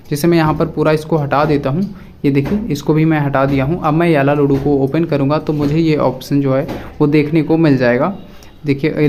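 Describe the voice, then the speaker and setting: Man, slightly high voice, casual tutorial presenter, instructional, repetitive, step-by-step delivery. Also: a little mellow. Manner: repetitive, instructional, addresses viewers directly.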